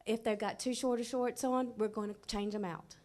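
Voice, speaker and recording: A woman's voice: on the high side, fairly even intonation, moderate pace, a little mellow. A woman school principal, speaking candidly at a board meeting. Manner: candid, conversational, with fillers.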